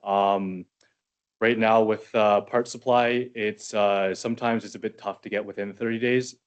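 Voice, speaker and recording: A business owner addresses a council in a low voice. He is hesitant and pauses often on ums. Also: steady tone, clear, resonant tone. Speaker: business owner applying for a permit.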